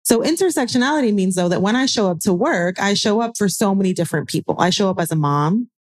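A woman's voice: mid-range voice, animated, quick pace. An American woman in her forties speaking as a panel guest, emphatic and wry, repeating phrases for effect. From United States. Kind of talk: panel discussion.

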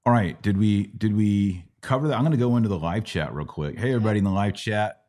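A middle-aged American man hosting a religious Q&A, explaining things casually like a teacher and often checking in with a quick "right?". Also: lowish voice, a little mellow. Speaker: podcast host answering Bible questions.

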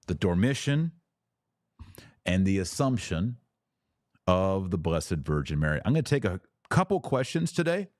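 A man hosting a podcast, giving a devotional theology talk with emphatic, deliberate phrasing and pauses for effect. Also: low-pitched, sing-song, dry recording, clean studio sound.

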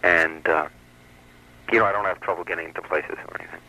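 A sixteen-year-old male caller on a radio show, chatting hesitantly with frequent pauses. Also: sits low, steady tone. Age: teens. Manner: hesitant, giggly, soft-spoken. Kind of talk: casual call-in radio chat. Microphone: telephone. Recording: tinny telephone line on lo-fi radio.

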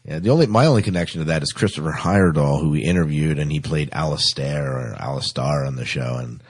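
A man's voice: a bass voice, quick pace, slightly rough, dry recording, studio quality. A man hosting a casual chat about TV shows, agreeable and often repeating short affirmations. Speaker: podcast host.

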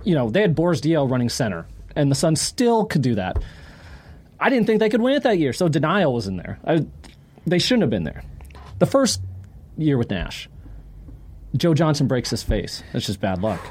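A man's voice: a little high, very animated, moderate pace, pauses often, a little mellow, breathy edge. A man co-hosting a sports podcast in casual chat, talking emphatically and repeating phrases for dramatic effect.

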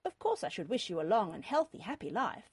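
A woman's voice: mid-range voice, big pitch swings, quick pace, darkish tone, husky, no room sound. A woman voicing a wily young heiress in a historical romance reading, dainty, haughty and affectedly sorrowful. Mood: feigned reluctance.

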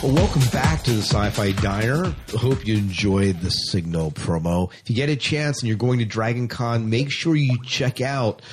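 A man's voice: medium pitch, normal speed, hardly any pauses, soft background music. A man hosting a podcast, chatting casually with informal banter. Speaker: podcast host. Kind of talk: casual chat.

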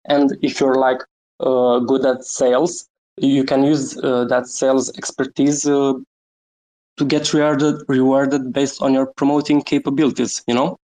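A man from Romania, a guest on a live audio chat, talking about his project with many pauses, fillers and small laughs. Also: medium pitch, little pitch movement, a little mellow, low-quality recording. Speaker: guest NFT creator. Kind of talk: casual chat on a Twitter space.

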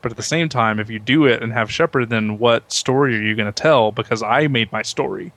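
A man's voice: mid-range voice, brisk, a bit bright, dry recording. A man hosting a podcast, talking through his points in a casual group discussion and often rephrasing as he thinks aloud. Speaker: podcast host moderating a discussion.

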